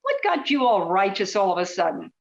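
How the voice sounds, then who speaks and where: A woman playing an inquisitive, persistent journalist in a radio play, probing and brisk. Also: mid-range voice, very animated, vocal fry, muffled recording. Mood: argumentative, determined.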